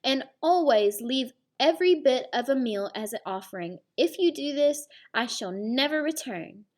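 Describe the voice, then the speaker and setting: A woman's voice: high-pitched, animated, normal speed, darkish tone. A woman voicing a clever young girl hyena who poses as a commanding spirit in a storybook. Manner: commanding, declarative.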